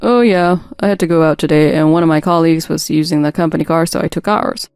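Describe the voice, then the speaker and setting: A woman's voice: low voice, fast, darkish tone, clear, resonant tone, no room sound, clean studio sound, soft background music. A woman voicing a self-centred, evasive and dismissive husband in a scripted audio story. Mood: offhand, evasive.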